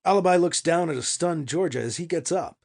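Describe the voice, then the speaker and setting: A man's voice: on the high side, brisk, creaky, a little mellow. A man reading fiction aloud as an audiobook narrator, in a dramatic scripted storytelling style.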